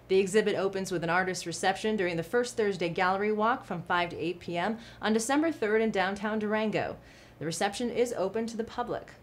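Young woman reading local TV news. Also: mid-range voice. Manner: clear, even newsreader delivery. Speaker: news anchor. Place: TV news studio. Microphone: studio mic, seated at desk.

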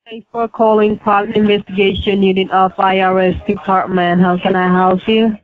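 A woman's voice: mid-range voice, flat delivery, moderate pace, dry recording. A woman working as a call center agent, curt and scripted on the phone. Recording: tinny phone line.

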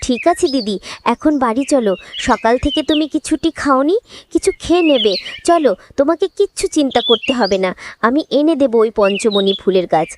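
A woman's voice: sits high, expressive, moderate pace, background noise. A woman voicing a caring, determined younger sister in a fairy-tale cartoon, reassuring and resolute.

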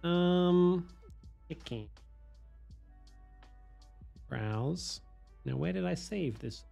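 Hoarse streamer, sick and swearing. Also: male voice, high voice, lively intonation, extremely slow, warm tone, soft background music. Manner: swearing, self-mocking, chatting to viewers. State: hoarse, losing his voice from a cold. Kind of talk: casual live-stream chatter while playing. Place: home streaming room. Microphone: headset.

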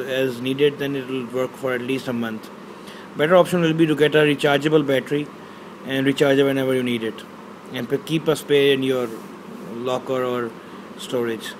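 A man's voice: medium pitch, noisy background. A man, an Urdu speaker, casually unboxing a product on a handheld phone camera indoors, often hesitating with fillers. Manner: hesitant, many fillers, reads box labels aloud. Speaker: unboxing reviewer. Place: indoors, small bedroom.

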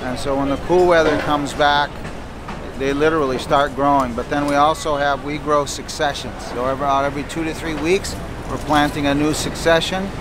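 Bearded man explaining outdoors, loud traffic. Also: a little high, a little fast. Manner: relaxed, explanatory, chatty. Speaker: farmer. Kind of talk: casual on-camera farm update. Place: outdoor city farmers market stall. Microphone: handheld camera close up. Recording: loud street noise outdoors. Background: traffic and market bustle.

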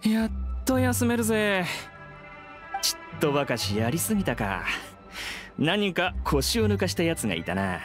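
Breezy, rough-talking young guy, fairly high-pitched. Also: big pitch swings, unhurried, over music. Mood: weary, relieved. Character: casual, rough-talking young man working as haunted-attraction ghost. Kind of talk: scripted Japanese game voice acting.

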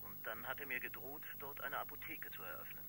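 An actor playing a formal, aggrieved pharmacist in a radio crime drama, speaking deliberately. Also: male voice, medium pitch, background noise, lightly acted. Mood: indignant. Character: indignant, formal male pharmacist with a doctorate.

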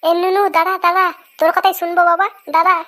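A woman's voice: very high-pitched, flat delivery, fast, pushed and bright, slight background noise. A woman voicing an exasperated but indulgent village mother in a comic cartoon, colloquial and placating. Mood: coaxing. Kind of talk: comic Bengali cartoon dubbing.

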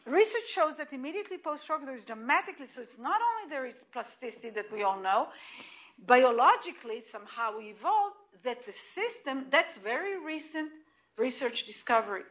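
A middle-aged woman with an Israeli accent, giving an animated lecture in a room, heard from a distant camera. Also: high voice, a little fast. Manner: animated and emphatic, with restarts and repeated points. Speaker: lecturer at hospital grand rounds. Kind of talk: lecture presentation.

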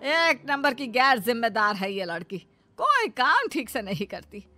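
A woman's voice: fairly high pitch, big pitch swings, moderate pace, sharp tone, no echo, soft background music. A woman voicing a vengeful, scolding middle-aged mother-in-law in a family cartoon. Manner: scolding, spiteful, defiant. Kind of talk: animated family drama voice acting.

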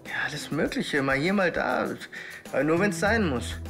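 A surly layabout fresh from sleep in a TV crime comedy. He is offhand and grumbling, slightly high-voiced, with music clearly underneath. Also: expressive, normal speed. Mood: indifferent. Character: surly, indifferent layabout man, just woken.

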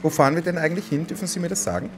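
Austrian guy chatting fast on headset, polite, car engine noise behind. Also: fairly high pitch. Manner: polite, chatty, talks with a put-on Viennese lilt. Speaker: roleplay streamer. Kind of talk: in-character roleplay chat.

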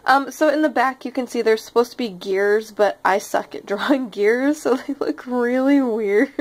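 A woman's voice: on the high side, normal speed. A young woman with a nasal, slightly sick-sounding voice, chatting casually and rambling with many ums and likes as she hosts her art channel. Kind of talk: casual voice-over chat over a speed paint.

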